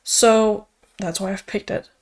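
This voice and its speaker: A woman's voice: medium pitch, fairly even intonation, a little slow. A young woman from Denmark, a native Danish speaker, chatting casually and upbeat as a book vlogger, with frequent fillers. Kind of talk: casual chat.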